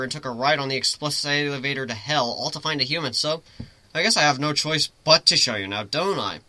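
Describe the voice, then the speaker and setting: Man playing a sharp, animated griffin captain. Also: fairly high pitch, dry recording. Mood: sardonic. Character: brash male griffin ship captain, teasing yet caring. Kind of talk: dramatic reading of a fan fiction story.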